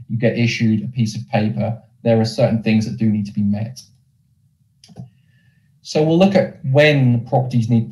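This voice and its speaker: A man with a Norfolk English accent presents an explanatory webinar. His voice is mellow and rounded, at a middling pitch for a man. The room adds a clear echo around his words. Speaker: presenter, mortgage lender representative.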